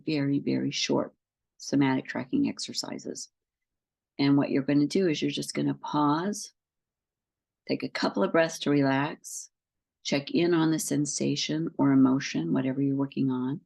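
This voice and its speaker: A woman coach with a head cold, sniffling a little, calmly explaining breathing and tracking exercises step by step. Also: low-pitched, gravelly, slightly rough. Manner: calm, patient, explains step by step with frequent ums. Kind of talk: instructional talk to camera.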